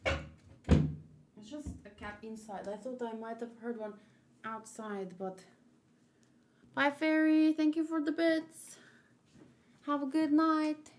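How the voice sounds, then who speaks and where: A woman hosting a casual livestream, talking in a rambling, halting, easily distracted way. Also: sits high, level tone, background noise. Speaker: livestream host doing manga read-alongs.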